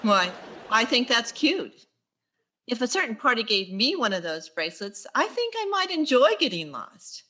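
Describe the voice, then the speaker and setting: Woman doing a wry old-time radio comedy teacher, highish bright voice, deadpan quips. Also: moderate pace. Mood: wry, friendly. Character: wry female schoolteacher, quick with deadpan asides. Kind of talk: vintage radio sitcom acting.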